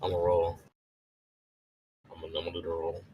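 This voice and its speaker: A man's voice: deep voice, completely flat delivery, brisk, highs cut off, a little hiss or hum. A man playing a tabletop roleplaying game, chatting casually and thinking aloud in slangy, repetitive phrases.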